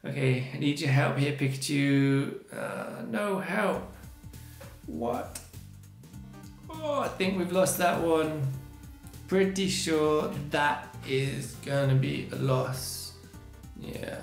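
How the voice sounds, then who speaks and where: A man's voice: on the high side, animated, takes it slowly, gravelly, roomy sound, soft background music. A man in his thirties with a strained, sore-throated voice, chatting casually as a gaming channel host while reacting to each result, recorded on a webcam mic at his desk in a small room. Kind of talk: casual let's-play commentary.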